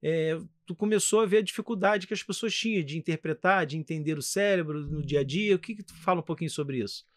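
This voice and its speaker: Fast-talking high-voiced podcast host. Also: male voice. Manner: quick, upbeat prompting with short acknowledgements. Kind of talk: casual interview questions.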